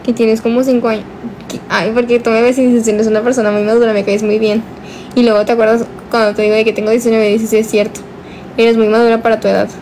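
A nineteen-year-old woman chats and teases on a livestream, her voice a little high and her words sometimes drawn out. She speaks into a phone held close in a small bedroom at night. A faint air-conditioner hum runs underneath. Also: level tone, dark tone, low-quality recording. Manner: chatty, teasing, drawn-out words. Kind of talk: casual livestream chat, reading viewer comments. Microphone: phone held close, selfie framing.

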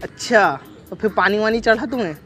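A man's voice: high voice, brisk. A young man with a Bhojpuri accent, a prankster chatting on a phone in a rustic, teasing, cheeky way outdoors. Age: young adult. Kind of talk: staged loud phone chat for a street prank. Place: outdoors in a leafy park. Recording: outdoor recording with music underneath. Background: music.

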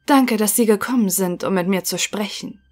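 A woman playing a formal, melancholic thinking machine in an audio drama, answering in clipped, measured phrases. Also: medium pitch, close to an everyday voice. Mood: polite, worried.

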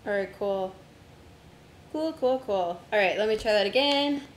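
A young woman streamer chatting casually with friends while setting up a game, her voice going hoarse from a long day of talking. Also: medium pitch, lively intonation, unhurried, roomy sound, a little hiss or hum. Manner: distracted, talks to herself while navigating menus.

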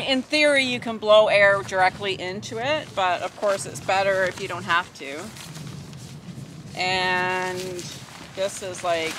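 A woman's voice: medium pitch, noisy background. An older woman chatting casually at an outdoor lakeside campsite, teasing and coy, laughing easily. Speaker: camping companion. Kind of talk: casual camping vlog chat.

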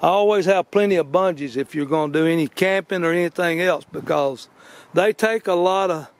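A man's voice: on the high side, fairly quick. An older man giving a rambling, folksy demo in a yard, correcting himself as he goes. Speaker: vlog host. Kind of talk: casual outdoor demo. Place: outdoors on a grassy yard.

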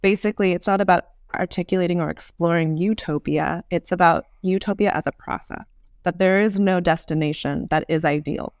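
A woman in a thoughtful interview conversation, with a noticeably creaky, gravelly edge to her voice. She explains carefully and stresses points by repeating them. The sound is dry, with very little room in it. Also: on the low side, dry recording. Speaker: podcast guest, futurist author.

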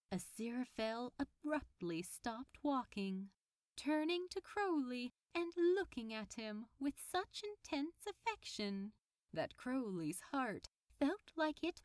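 A woman reading a story aloud as narrator, in an expressive scripted style. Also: on the high side, dry recording, clean studio sound. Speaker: fan fiction narrator.

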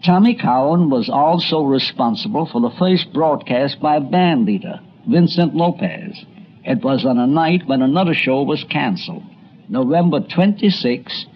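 A man's voice: on the high side, expressive, normal speed, slight background noise. A man narrating a scripted history documentary like a storyteller, with conversational asides. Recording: dull, lo-fi old recording.

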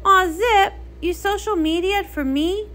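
A woman's voice: very high-pitched, measured pace, fairly bright tone. A woman voicing a bubbly young pony princess, chatty, girlish and emphatic. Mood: earnest, dramatic.

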